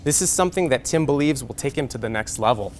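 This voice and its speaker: A man's voice: mid-range voice, lively intonation, quick pace, soft background music. A young man anchoring a scripted TV sports newscast. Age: young adult.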